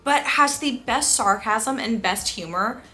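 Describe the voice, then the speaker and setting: A woman talking casually to viewers as a book vlogger, with a gushing, excitable and emphatic manner. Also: on the high side, measured pace.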